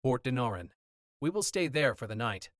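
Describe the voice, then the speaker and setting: A man voicing a reserved, protective knight who speaks in a low, measured way. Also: big pitch swings, a bit bright, no room sound, clean studio sound. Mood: grave.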